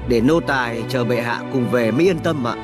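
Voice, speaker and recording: An actor playing a deferential servant of a king in an animated historical drama, humble and gently reassuring. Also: mid-range voice, brisk, natural voice. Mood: deferential, soothing. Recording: music behind the voice.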